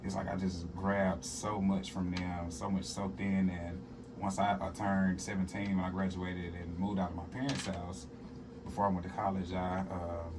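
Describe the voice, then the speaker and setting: A man's voice: low-pitched, little pitch movement, normal speed, recorded from a distance, noisy background. A young man from southern Arkansas, a comedian and musician, chatting casually as an interview guest and telling stories with a laugh. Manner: laid-back, laughing, storytelling.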